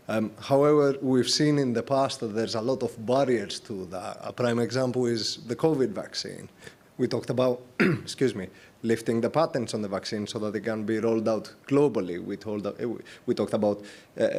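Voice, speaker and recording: Low-voiced, polite man from Greece. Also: darkish tone. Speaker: audience member asking a question. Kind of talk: unscripted formal question. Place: live conference event.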